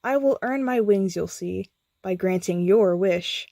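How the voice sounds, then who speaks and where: A woman's voice: mid-range voice, unhurried, gravelly, dark tone, no room sound, studio quality. A woman voicing a female guardian angel, a lost sweetheart who speaks tenderly and solemnly.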